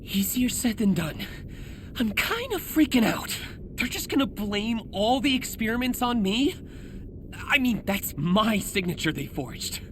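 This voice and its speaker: Boyish, animated young superhero. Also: male voice, high voice, a little slow, full character voice. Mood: anxious. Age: young adult. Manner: boyish, nervous, hesitant. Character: anxious, earnest young superhero with a double life. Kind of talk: video game cutscene acting. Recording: clear background noise and ambience.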